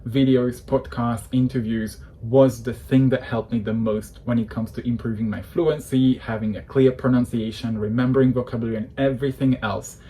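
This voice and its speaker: Man in his thirties, coach delivering a fired-up persuasive rant, mellow tone, faint hiss underneath. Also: medium pitch, fairly even intonation, normal speed, hardly any pauses. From Hungary. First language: Hungarian. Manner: passionate, persuasive, opinionated. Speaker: English coach. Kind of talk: direct-to-camera talk.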